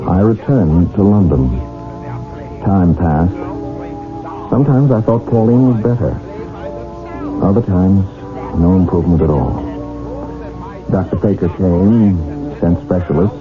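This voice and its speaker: Deep-voiced, anxious radio drama husband. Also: male voice, animated, a little slow, pauses often. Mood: grave storytelling. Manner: dramatic, earnest, formal diction. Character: anxious husband narrating a mystery, earnest and pressing. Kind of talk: 1970s radio drama acting and narration. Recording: dull old radio broadcast, music behind.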